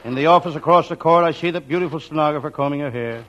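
Wisecracking hardboiled detective played by a man, slightly high male voice, deadpan 1940s radio comedy, very noisy old recording. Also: fast talker, dull audio, natural voice. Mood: deadpan, wry. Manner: deadpan, slangy, punchline delivery. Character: wisecracking, down-on-his-luck hardboiled private detective. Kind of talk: 1940s radio comedy acting, scripted first-person narration.

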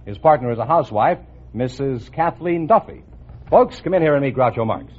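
Casting: man as old-time quiz announcer, lively intonation, rough-edged voice, very thin radio sound. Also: medium pitch, fairly quick. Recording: tinny, narrow vintage radio recording.

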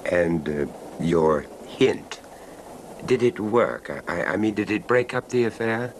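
A man's voice: expressive, unhurried. An actor playing an attentive, probing male train passenger who listens and narrates in an old-time radio drama. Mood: curious, urging. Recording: noisy vintage radio drama, vehicle rumble behind.

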